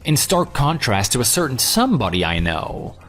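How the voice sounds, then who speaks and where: A jilted former groom, played by a man, telling his story in an audio drama. He is dry, sarcastic and unyielding, with a medium-pitched voice. Light music sits underneath. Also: sing-song, normal speed, fairly bright tone. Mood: dry, dismissive. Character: jilted ex-fiance, sarcastic, unyielding, self-assured. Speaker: narrator and lead character. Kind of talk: audio drama dialogue and first-person narration. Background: background music.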